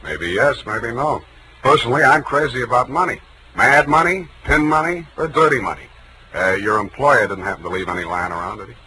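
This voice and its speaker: An American actor playing a wry, unflappable private detective in a 1940s radio drama, with dry, clipped, wisecracking delivery. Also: male voice, medium pitch, expressive, a little fast, bright, forceful tone, a little hiss or hum, rough recording. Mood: dry, probing. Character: wry, unflappable male private detective narrating his case. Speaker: radio drama lead and narrator. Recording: 1940s radio broadcast with music.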